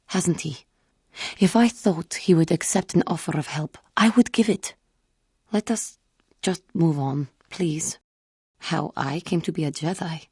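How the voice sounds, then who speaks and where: Low, solemn alien warrior woman. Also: a little mellow, husky, no room sound, studio quality, heavily acted. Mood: wistful, guarded. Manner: measured, formal, guarded, hesitant phrasing. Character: solemn, wounded young feline alien Jedi woman. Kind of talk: video game character dialogue.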